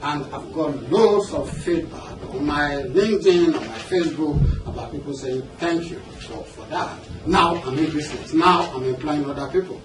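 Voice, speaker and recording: A man's voice: a little high, normal speed, hardly any pauses. A man with a Nigerian accent giving a motivational seminar talk as a business coach, conversational and often checking in with questions. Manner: conversational, engaging the audience with questions and check-ins. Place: indoor seminar room with an audience. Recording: roomy, noisy seminar hall, distant mic.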